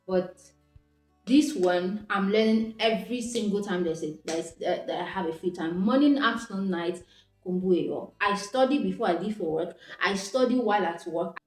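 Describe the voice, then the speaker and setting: A woman's voice: mid-range voice, moderate pace. Nigerian woman vlogging in an echoey room. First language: Igbo. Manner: reflective, with frequent restarts and self-corrections. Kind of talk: casual talking-to-camera vlog. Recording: echoey room with faint background music.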